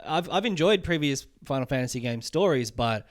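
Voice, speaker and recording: A man co-hosting a video game podcast in relaxed, informal conversation. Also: on the high side, fairly quick. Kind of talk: casual chat.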